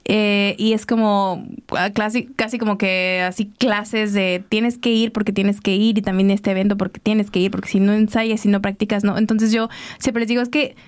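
A woman hosting a casual radio interview, often affirming her guests with soft laughs and fillers. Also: medium pitch, steady tone, normal speed, few pauses, clear, resonant tone. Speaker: radio show host.

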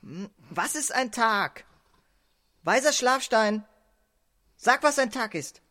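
A woman's voice: fairly high pitch, expressive, takes it slowly, sharp tone, heavily acted. A woman playing a naive, curious little male dwarf in a children's radio play, eager and prone to stammer in wonder. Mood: puzzled.

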